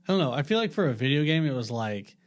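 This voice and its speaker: A man's voice: medium pitch, sing-song, fast talker. A man talking casually as a podcast panelist, explaining things in an eager, repetitive way. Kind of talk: casual group discussion.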